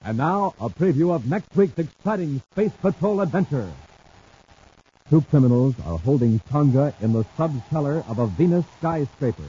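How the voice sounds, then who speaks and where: A man announcing on a 1950s radio show, selling and narrating in an excited, emphatic, punchy way. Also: mid-range voice, breathy edge. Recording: dull 1950s radio broadcast recording.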